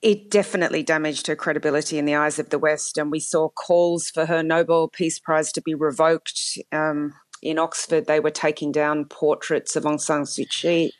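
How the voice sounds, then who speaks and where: A woman law professor giving measured, explanatory expert commentary in an interview. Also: low voice, flat delivery, dry recording. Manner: measured, explanatory, with occasional fillers.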